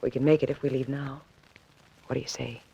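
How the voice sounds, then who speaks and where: A woman's voice: very deep voice, flat delivery, fairly quick, creaky, mellow, husky, exaggerated voice. An actress playing a cool, secretive and anxious woman in trouble in a 1940s radio drama. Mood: pleading. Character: anxious, cool, secretive woman being blackmailed, pleading for help. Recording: dull vintage 1940s radio recording, faint music.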